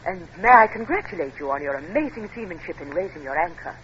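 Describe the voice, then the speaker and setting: A woman's voice: fairly low pitch, expressive, fast, crowd noise, close to an everyday voice. An actress playing a poised, spirited aristocratic English lady, gracious and refined, in a 1950s radio drama. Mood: delighted, gracious. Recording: dull, noisy 1950s radio recording.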